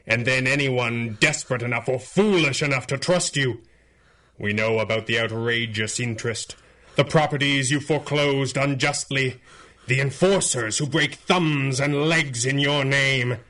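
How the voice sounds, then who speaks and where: An actor playing an implacable masked hero with mind powers in a radio superhero drama, speaking gravely with deliberate menace. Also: male voice, medium pitch, sharp tone, close to an everyday voice. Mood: grave, accusing. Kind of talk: 1930s-set radio superhero drama acting.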